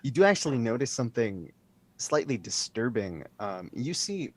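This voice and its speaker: A man narrating a tabletop role-playing game as dungeon master, describing scenes in a slow, descriptive way. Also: medium pitch, very animated, husky.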